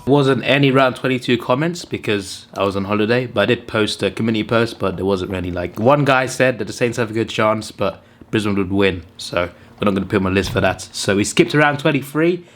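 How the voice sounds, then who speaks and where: A hoarse man who is under the weather, hosting a channel and tallying wrong viewer tips with mock exasperation. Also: medium pitch, a bit bright. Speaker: channel host. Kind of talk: casual commentary reading out viewer tips.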